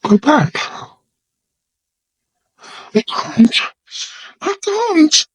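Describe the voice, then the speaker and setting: An actor playing a gallant but shaken young gentleman, speaking haltingly and emotionally with well-bred politeness. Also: extremely high pitch, sing-song, no echo, heavily acted. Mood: horrified.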